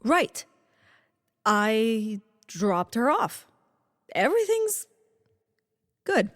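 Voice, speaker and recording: An actress playing an awkward, exasperated secret agent with a hesitant, dry manner, in an audio comedy drama. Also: female voice, on the high side, very animated, very slow, dry recording, heavily acted. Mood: flustered, evasive.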